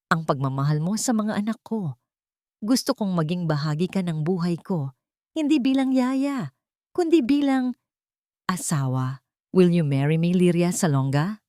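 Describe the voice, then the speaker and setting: Audiobook romance lead, voiced by a woman: earnest Filipino tycoon, humbled, tenderly pleading, lowish dry voice. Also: a little mellow, no echo. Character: earnest, humbled billionaire man in love.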